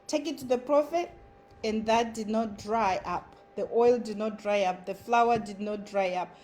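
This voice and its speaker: A woman's voice: mid-range voice, a little slow, darkish tone, slight background noise. A woman with a Zimbabwean accent giving an earnest motivational talk as a content creator. She drives her points home by repeating short phrases.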